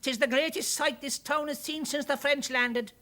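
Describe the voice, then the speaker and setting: High, excitable Irish townsperson, put on by a narrator. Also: moderate pace, lightly acted. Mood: excited. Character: excitable, gossiping Irish glassworks townsfolk, easily alarmed.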